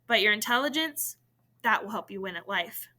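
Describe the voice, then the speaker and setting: A woman's voice: mid-range voice, animated, moderate pace, sharp tone. A woman voicing a teasing, boastful father of teenage boys in a story, with playful slangy talk. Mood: playful, firm.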